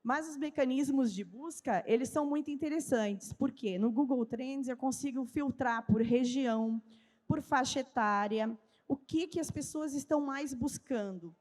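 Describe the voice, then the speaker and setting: A woman's voice: sits high, moderate pace, darkish tone. A woman with a southern Brazilian gaúcho accent giving a didactic conference talk, asking questions and answering them herself. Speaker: speaker at a trade fair. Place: large event hall with a live audience. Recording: long hall reverb.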